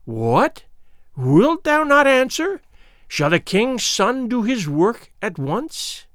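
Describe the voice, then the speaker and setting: A man voicing an ancient, cruel witch who speaks slowly with shrill mockery. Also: sing-song, a bit bright, dry recording, studio quality, heavily acted. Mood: mocking. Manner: shrill, slow, mocking.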